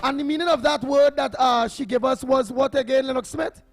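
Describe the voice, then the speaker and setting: Very high, hoarse Trinidadian radio host, upbeat and chatty, music faint behind. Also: male voice, fairly even intonation, brisk. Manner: upbeat, chatty, reads phone numbers. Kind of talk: casual radio chat. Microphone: studio mic.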